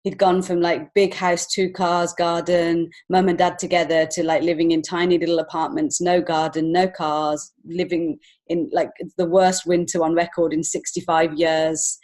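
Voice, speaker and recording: A woman's voice: on the low side, level tone, moderate pace, few pauses, darkish tone. A woman telling personal stories as a podcast guest, speaking in a heartfelt, informal and emotionally open way. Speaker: podcast guest, children's book author and illustrator. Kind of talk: personal storytelling in an interview.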